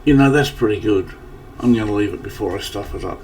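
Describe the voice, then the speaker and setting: A man with a fairly low, slightly scratchy morning voice talks his way casually through a video game. He rambles and thinks aloud as he goes. The microphone sits a little away from him, and there is faint noise in the background. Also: fairly quick, a little mellow, recorded from a distance. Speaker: gaming channel host. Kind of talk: casual gameplay commentary.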